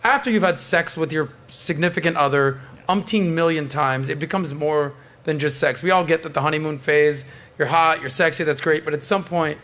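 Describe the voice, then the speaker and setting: A man's voice: on the high side, normal speed, fairly bright tone. A man hosting a podcast in casual, opinionated panel chat, bantering and swearing freely. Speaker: podcast host.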